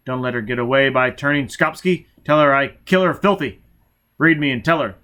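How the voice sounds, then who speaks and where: A man's voice: medium pitch, a little fast, sharp tone, natural voice. A man voicing a ruthless, vengeful man who snaps orders with menace in an audiobook story. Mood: vengeful, menacing. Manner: barking orders, snapping, menacing. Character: ruthless, vengeful, furious man hunting his enemy.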